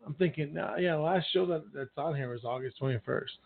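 A man hosting a casual late-night radio show, hoarse and raspy from being sick, rambling and joking. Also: fairly high pitch, quick pace. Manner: rambling, joking, laughs often. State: hoarse from illness. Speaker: radio talk show host. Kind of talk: casual banter. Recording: narrow phone-like sound.

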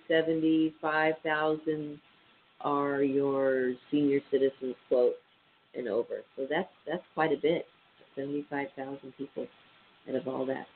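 A man with a stuffy, nasal voice hosting a casual radio show, reading statistics aloud with many ums and uhs. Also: a little high. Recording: tinny phone-line sound with faint hiss.

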